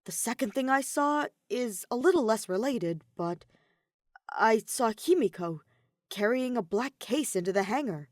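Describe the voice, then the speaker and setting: A woman's voice: on the high side, lively intonation, a little slow, dry recording, clean studio sound, voice pushed up. A woman voicing a polite, earnest male robot in a story, with formal, precise wording. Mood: serious.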